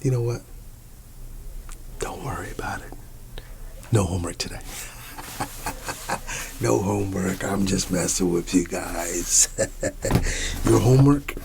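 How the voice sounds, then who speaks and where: Easygoing male schoolteacher for ASMR roleplay: soft, whisper-prone, unhurried delivery, reassuring repeated phrases, audible noise behind. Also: sits low. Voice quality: soft, often dropping into a whisper. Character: quirky, easygoing male schoolteacher addressing his class. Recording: clearly audible background noise.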